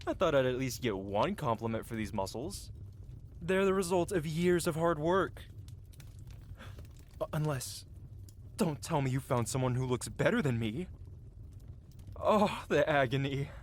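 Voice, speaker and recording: A man's voice: on the high side, expressive, measured pace, pauses often, slight background noise. A man playing a cocky, flirtatious medieval blacksmith in a fantasy ASMR roleplay, with a playful, teasing and theatrical way of talking. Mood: mock hurt.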